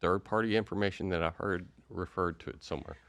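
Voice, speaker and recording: A man's voice: low voice, steady tone, fast, vocal fry, a bit bright, dry recording. A man hosting a podcast interview, chatty and rambling with plenty of fillers. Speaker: podcast host.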